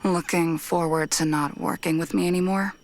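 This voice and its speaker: A woman playing a driven, quietly playful botanist in a visual novel, speaking in a dry, composed way. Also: on the low side, fairly even intonation, moderate pace, no echo, close to an everyday voice. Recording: loud music behind the voice.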